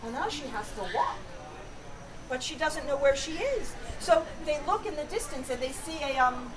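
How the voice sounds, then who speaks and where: A woman improvising a fairy tale for a live audience, playful and pausing to invite suggestions. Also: high voice, animated, crowd noise. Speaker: storyteller, performer. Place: outdoor Renaissance festival with audience. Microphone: distant camera. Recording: noisy outdoor festival, distant mic.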